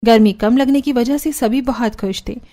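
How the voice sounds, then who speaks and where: A woman's voice: on the high side, normal speed, dark tone. A woman narrating a scripted Hindi cartoon story.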